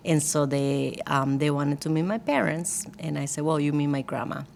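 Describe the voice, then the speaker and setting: A woman's voice: sits low, normal speed, creaky, a little mellow, a little hiss or hum. A woman from Costa Rica with a Costa Rican Spanish accent, telling her personal story in a candid, chatty, lightly joking way as an interview guest. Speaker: guest, church worship team leader.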